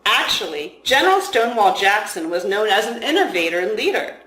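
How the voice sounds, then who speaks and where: A woman voicing a measured, scholarly male FBI agent with quiet authority in a story reading. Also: mid-range voice, big pitch swings. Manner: measured, matter-of-fact. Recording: roomy, echoing room sound.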